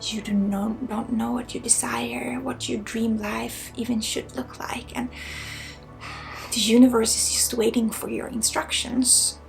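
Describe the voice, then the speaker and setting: A woman with a Swedish accent guides an ASMR energy healing at a very unhurried pace. Her soft voice sits fairly high and often drops to a whisper with a crackly edge. Faint music plays behind her. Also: level tone, creaky. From Sweden. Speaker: spiritual healer and ASMR host.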